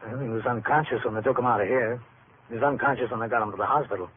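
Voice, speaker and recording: Actor as male police captain, authoritative, matter-of-fact, radio crime drama, medium pitch, tinny sound. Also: lightly acted. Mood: businesslike. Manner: measured, matter-of-fact questioning. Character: steady, authoritative police captain in charge of a precinct. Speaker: actor playing captain and narrator. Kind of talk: old-time radio police drama acting. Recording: tinny, noisy vintage radio recording.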